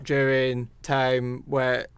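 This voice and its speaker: A man's voice: mid-range voice, completely flat delivery, very slow, slight background noise. A man co-hosting a podcast, talking casually through film news. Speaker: podcast co-host.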